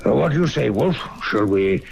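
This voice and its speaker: A very gravelly older doctor in an old radio drama. He takes his time, genial but sly, with pompous, hearty phrasing that swings widely in pitch. The recording carries a hiss. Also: expressive, a little mellow. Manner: hearty, pompous, chuckling between deliberate phrases.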